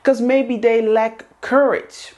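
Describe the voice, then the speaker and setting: A woman's voice: a little high, steady tone, takes it slowly, a little mellow. A woman whose first language is Dutch, speaking English to camera as host of a women's group, earnest and repeating coaching lines. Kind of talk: motivational talk to camera.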